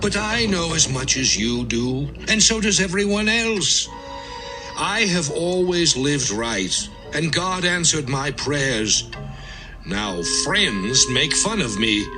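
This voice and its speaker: Anguished man crying out to heaven; high, very animated, impassioned scripture drama over music. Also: takes it slowly, poor audio. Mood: bitter. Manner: impassioned, lamenting, dramatic delivery. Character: anguished suffering man pleading with God. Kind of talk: dramatised Bible audio acting.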